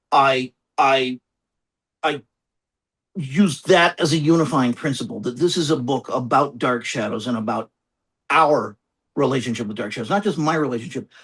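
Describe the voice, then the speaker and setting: A man speaking as a guest author in a conversational interview, reflective and halting, often circling back to repeat phrases. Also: mid-range voice, a bit bright, slightly rough.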